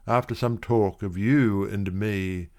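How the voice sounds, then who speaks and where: A man reciting literary verse dramatically, with measured, expressive phrasing. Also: on the low side. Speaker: poetry reader.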